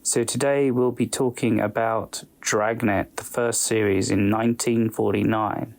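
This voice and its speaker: A man hosting a podcast, giving a semi-scripted introduction in a measured, informal way. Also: fairly low pitch, steady tone, creaky, a little mellow. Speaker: podcast host.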